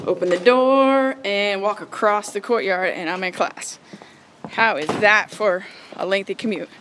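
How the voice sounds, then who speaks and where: An American woman chatting enthusiastically to camera in a casual tour vlog. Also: medium pitch. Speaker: vlogger, theology student. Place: walking around college grounds, indoors and outdoors. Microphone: phone at arm's length. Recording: faint noise, handheld phone.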